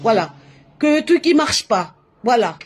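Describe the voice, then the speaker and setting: A woman's voice: on the high side, very animated, unhurried, a little hiss or hum. A woman from Latin America talks straight into her phone or webcam on a live stream, in French. Her delivery is emphatic and looping, and she repeats words, restarts her sentences and keeps coming back to the same fillers. She sounds like a spiritual streamer giving her viewers advice. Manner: emphatic, repetitive, uses many fillers and restarts. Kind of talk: casual live-stream monologue.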